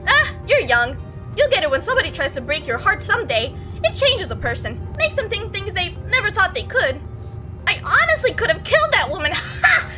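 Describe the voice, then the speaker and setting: A woman's voice: very high voice, sing-song, a little fast, music in the background, voice pushed up. Woman putting on a chattering, oblivious creepy young guy. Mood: boastful, rambling. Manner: rambling, breezy, casually menacing. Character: talkative, oblivious, creepy young male bus passenger. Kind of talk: acted visual novel dialogue.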